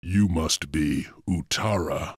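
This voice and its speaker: A man's voice: rumbling low, slow, slightly rough, no room sound, studio quality, full character voice. A man playing a stern, determined clan chieftain in a video game, speaking in a terse, commanding way. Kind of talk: dramatic video game acting.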